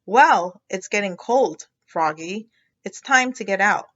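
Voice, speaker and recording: A woman's voice: medium pitch, lively intonation, slow, fairly bright tone, dull audio. A woman voicing a patient but firm mother frog in a storybook, coaxing and instructing step by step. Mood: concerned.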